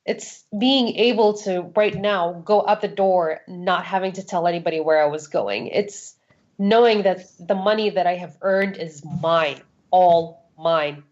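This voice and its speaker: A woman's voice: on the low side, a little slow, a little mellow. A woman from Saudi Arabia giving candid personal testimony as an interview guest, frank and emphatic, repeating phrases for stress. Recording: dull, lo-fi sound.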